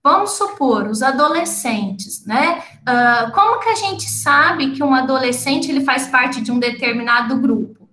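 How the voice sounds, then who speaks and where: Woman, lecturer, high voice, explanatory, frequent tag questions, echoey room. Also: animated, a little slow, few pauses, sharp tone. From not from the city of the event. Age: adult with completed schooling. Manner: explanatory, often ends points with tag questions. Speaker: lecturer and researcher. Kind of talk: academic lecture to teachers.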